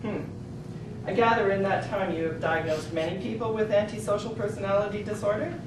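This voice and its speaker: A woman's voice: medium pitch, fairly even intonation, brisk, natural voice. A woman in an amateur stage play, playing a skeptical prosecutor with a pointed, questioning manner. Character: skeptical, challenging female courtroom prosecutor. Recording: echoey distant room recording, clear background noise.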